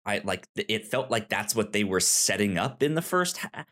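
A man co-hosting a podcast, giving a casual review in a hesitant, halting way, repeating words as he searches for phrasing. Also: fairly low pitch, animated, fairly bright tone. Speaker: podcast co-host. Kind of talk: casual conversational book review.

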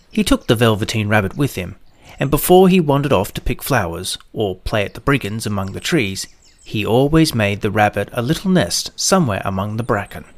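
A man's voice: medium pitch, no echo. A man reading a scripted children's story as its narrator.

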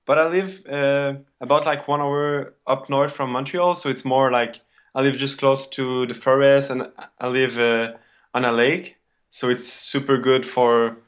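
A young man with a Québécois French accent, French being his first language. He answers as a podcast guest in a relaxed way, pausing often and filling gaps with "uh" and "like.". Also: medium pitch, highs cut off. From Quebec, Canada. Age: 20s. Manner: relaxed, halting with fillers.

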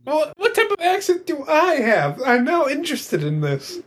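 A woman's voice: high voice, very animated. A woman from Ohio joining a casual podcast chat, asking curious questions. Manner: curious, quick interjections.

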